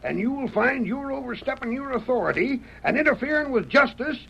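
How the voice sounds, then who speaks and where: An actor playing a stern, suspicious Old West sheriff with an American Western accent and a gruff, authoritative manner, in old-time radio style. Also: male voice, very high voice, lively intonation, brisk, pushed and bright, heavily acted. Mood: commanding. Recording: dull, noisy old radio recording.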